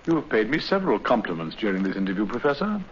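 Confident English detective, refined accent, animated intonation, dryly ironic, urbane, dull hissy 1950s radio drama sound. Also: male voice, fairly low pitch, fast talker. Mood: composed, cutting. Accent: English, Received Pronunciation. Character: coolly confident, urbane male master detective. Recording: muffled, faintly hissy 1950s radio recording.

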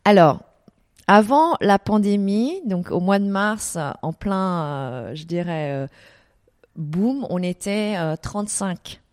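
A woman's voice: medium pitch, expressive, takes it slowly, darkish tone, no room sound, studio quality. A middle-aged woman from Vietnam answering interview questions about her events business, hesitating often with fillers and restarts. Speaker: desert events business owner.

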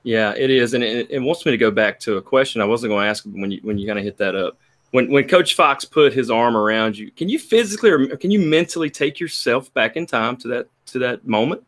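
A man hosting a podcast who asks relaxed follow-up questions in a conversational way. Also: medium pitch, brisk. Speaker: podcast host. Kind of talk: conversational interview.

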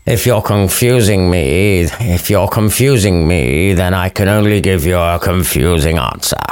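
A man voicing a blunt, weary old friar in a storybook retelling, scolding slowly and crudely. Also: low-pitched, clean tone, no room sound.

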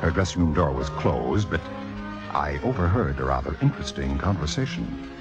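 A man's voice: rumbling low, lively intonation, moderate pace. An actor playing a suave, knowing man who narrates intrigue with pointed theatrical delivery, in 1950s radio drama style. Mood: knowing, suspicious. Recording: muffled vintage radio broadcast with music.

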